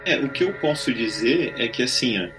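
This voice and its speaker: A Brazilian man tells stories in a casual, conversational way. His voice sits at an average male pitch, and music runs clearly underneath it. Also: lively intonation, normal speed, rough recording. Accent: Brazilian Portuguese. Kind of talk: casual anecdotal storytelling. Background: background music.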